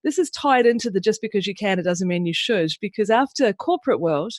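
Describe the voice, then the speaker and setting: A woman's voice: mid-range voice, no room sound. Fifties woman from New Zealand, earnest interview guest, dry voice. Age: 50s. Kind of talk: radio interview.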